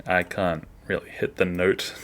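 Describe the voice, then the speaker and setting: A man's voice: deep voice, level tone, takes it slowly, fairly bright tone. A man giving a casual behind-the-scenes walkthrough as an animator, offhand and self-deprecating, often trailing off. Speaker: animator, video creator.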